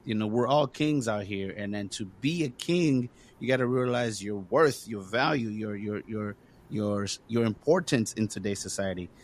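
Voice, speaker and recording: Earnest low-voiced male podcast host. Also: moderate pace. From Congo. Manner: earnest, encouraging, with many fillers. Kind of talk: casual conversation.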